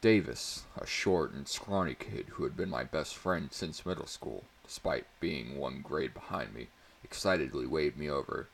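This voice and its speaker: A man's voice: extremely low pitch, lively intonation, moderate pace, creaky, slightly rough. A man reading a story aloud as narrator in a dramatic audiobook style.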